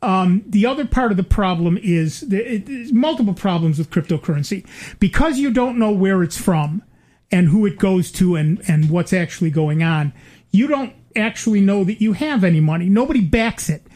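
A man's voice: high voice, lively intonation, moderate pace, darkish tone. An older man hosting a casual chat, rambling with many fillers and restarts and dry asides.

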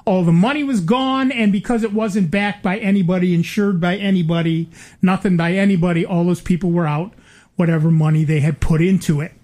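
A man's voice: high voice, fairly even intonation, a little fast, a little mellow. An older man hosting a casual chat, rambling with many fillers and restarts and dry asides.

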